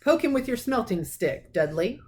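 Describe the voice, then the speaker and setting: A woman voicing a gruff, bullying middle-aged uncle in a storybook, barking commands loudly. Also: a little high, very animated, normal speed, voice pushed up. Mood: bossy. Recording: clearly noisy recording with clicks.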